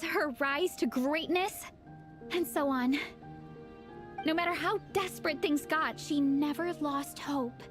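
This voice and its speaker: A woman's voice: high voice, natural voice. A woman voicing an enthusiastic, friendly young comic-fan club captain in a video game, chatting casually.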